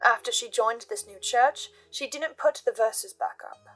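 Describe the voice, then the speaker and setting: A woman giving intense, theatrical scripted commentary with emphatic pauses, like a host laying out a theory. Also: on the high side, a bit bright, poor audio. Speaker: host of a reaction and theory channel. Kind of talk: dramatic scripted commentary on a fiction podcast. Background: background music.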